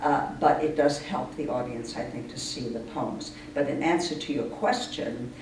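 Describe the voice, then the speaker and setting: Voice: medium pitch, moderate pace. A person explaining things earnestly in an informal interview, as the leader of a poetry reading group. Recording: echoey distant room recording, clear noise.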